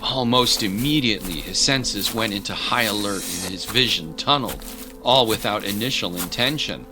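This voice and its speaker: A man's voice: lowish voice, moderate pace. A man giving grave, literary, scripted narration for a dramatic story. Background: music bed.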